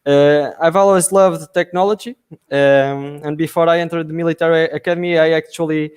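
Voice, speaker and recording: A man's voice: fairly high pitch, fairly even intonation, brisk, a little mellow, clean tone. A man, a guest answering interview questions over a video call, hesitant and full of fillers. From Portugal. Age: 20s. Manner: hesitant, many fillers and restarts. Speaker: guest, army officer.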